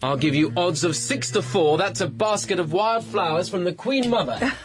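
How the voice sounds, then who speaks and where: An actor playing a cheerful, eager secretary with a polite, gushing manner in a radio drama. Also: male voice, high-pitched, animated, moderate pace, music in the background. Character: cheerful, eager English male secretary, pleasure-loving.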